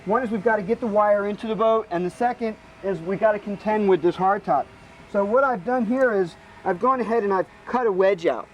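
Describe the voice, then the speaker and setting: A man's voice: high voice, brisk, lo-fi sound, a little hiss or hum. A man giving casual, practical technical explanations outdoors as a hands-on expert. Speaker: boat rigger, expert guest. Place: outdoors on a boat.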